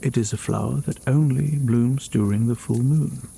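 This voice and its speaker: A man plays a kindly fantasy mage for ASMR, healing a weary traveler. His voice is mellow and a little gravelly, very unhurried and soothing, often sinking near a whisper. Faint cricket sounds of a forest night sit behind him. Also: medium pitch, a little hiss or hum, natural voice. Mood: soothing, gentle. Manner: soft-spoken, soothing, unhurried, often dropping toward a whisper.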